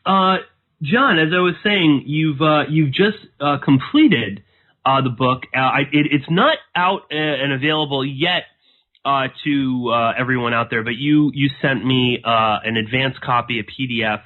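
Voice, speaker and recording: A man hosting a podcast interview, talking in a halting way with many fillers and restarts while chewing nicotine gum. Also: medium pitch. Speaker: podcast host. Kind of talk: casual interview intro. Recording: tinny phone-like audio.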